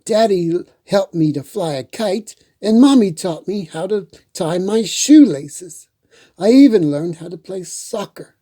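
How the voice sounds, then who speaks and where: A storyteller voicing an excited, curious little girl from a picture book. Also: mid-range voice, unhurried, voice pushed up. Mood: proud, eager.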